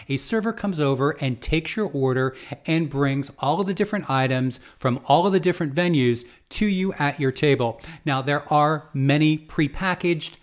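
A man in his fifties with an American accent presents an explanatory guide as a vlogger, in measured steps with emphatic repeats, close to a desktop microphone in a small home studio. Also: fairly high pitch. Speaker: cruise travel vlogger and agent. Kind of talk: explanatory talk to camera, semi-scripted guide. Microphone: close desktop condenser microphone.

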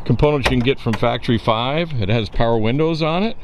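A man with a voice of ordinary pitch walks around a car and lists its features in an easygoing, steady way, now and then pausing on an "uh." He is recorded outdoors on a camera mic, with faint background noise behind him. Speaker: car dealer giving a walkaround. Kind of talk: casual walkaround car presentation. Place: outdoors in a park parking lot.

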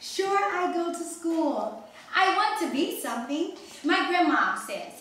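Woman playing high-voiced eager twelve-year-old Trinidadian girl. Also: animated, slow pace, a bit bright, exaggerated voice. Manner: artless, boastful, giggly. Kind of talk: staged play reading. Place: wood-paneled mansion room. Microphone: distant camera microphone. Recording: very echoey room, distant mic, faint noise.

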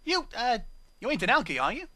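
A man voicing a rough, blunt female bar pony with a British accent in a storybook reading. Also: very high voice, huge pitch swings, a little fast, pushed and bright, no room sound, pitched above the performer's own voice, heavily acted.

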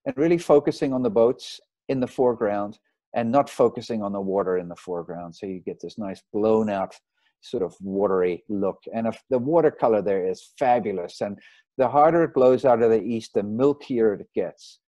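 A man, a sailing photographer, giving a relaxed, rambling slideshow talk over a video call. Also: on the low side, normal speed, no echo, highs cut off. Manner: rambling, relaxed, with fillers and restarts. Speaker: marine photographer giving a lecture. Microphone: video call mic.

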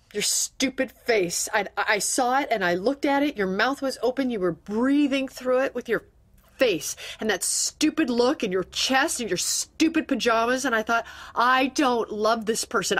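A rattled wife in a radio drama who keeps circling back over her words. Her voice sits a little high and she speaks in a fretful, rambling way. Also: a little slow, fairly bright tone. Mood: unsettled. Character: anxious married schoolteacher woman, suddenly unsure of her love. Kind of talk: scripted radio drama acting.

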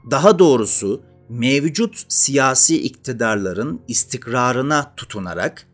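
A man of about thirty hosts an educational video essay, speaking emphatically and deliberately, with rhetorical questions to the listener. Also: medium pitch. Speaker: host of an educational channel. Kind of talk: explanatory video essay to camera. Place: small indoor studio with dark curtain backdrop. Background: faint background music.

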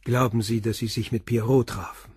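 Calm stubborn police commissioner, short probing questions, slightly husky, dry studio sound. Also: male voice, medium pitch, normal speed, no echo. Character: calm persistent middle-aged police commissioner. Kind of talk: radio drama acting.

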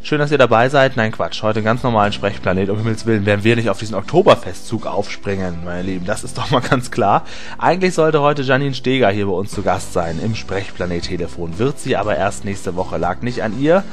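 A man's voice: lowish voice, animated, a little fast. A man hosting an interview show in an informal, jokey way. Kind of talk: interview moderation. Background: music.

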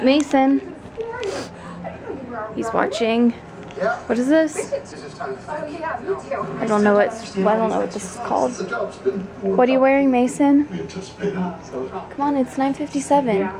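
A woman's voice: fairly high pitch, distant mic. A young woman chatting casually to a handheld camera in a hotel room, narrating her plans for the day. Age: young adult. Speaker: vlogger. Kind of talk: casual vlog chat. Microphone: handheld camera at arm's length. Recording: camera audio with background noise.

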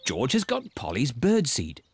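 A man with a British accent narrating a preschool cartoon in a clear, simple storytelling manner. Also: mid-range voice, huge pitch swings, moderate pace, over music. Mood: bright storytelling.

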